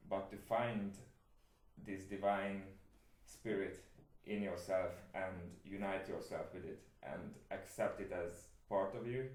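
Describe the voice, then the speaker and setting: A man's voice: deep voice, steady tone, slow, a little mellow, echoey room. A man with a Hungarian accent, Hungarian his first language, talking in earnest and enthusiastic way in a casual book club conversation in a home studio. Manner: earnest and enthusiastic, laughs easily.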